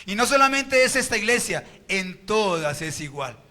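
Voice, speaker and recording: A man's voice: sits high, big pitch swings, moderate pace, sharp tone. A Colombian man preaching a sermon as pastor at a church service, firing rhetorical questions at the congregation.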